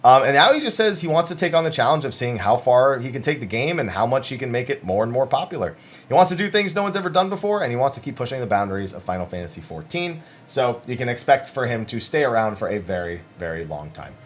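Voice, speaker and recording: A man's voice: medium pitch, sing-song, fast talker. A man hosting gaming news commentary, talking casually and emphatically as he sums up interviews in an informal, conversational way.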